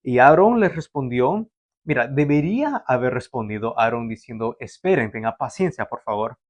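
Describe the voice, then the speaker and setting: A man's voice: mid-range voice, expressive, normal speed, no echo. A young man born in Canada, preaching a sermon to a livestream audience in a conversational way, with fillers and restarts. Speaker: pastor.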